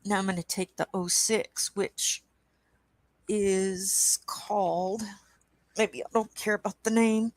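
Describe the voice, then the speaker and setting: A woman with a croaky voice hosting a coloring livestream, chatting casually and reading chat aloud at an easy pace. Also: mid-range voice, steady tone, dry recording. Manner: slow, unhurried, reads chat aloud with soft asides. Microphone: webcam.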